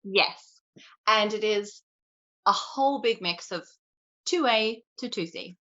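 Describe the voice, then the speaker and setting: Bright Australian woman on a video call. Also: medium pitch, slow pace, lo-fi sound. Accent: Australian, Queensland. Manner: chatty, animated storytelling. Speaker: interview guest. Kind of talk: casual chat.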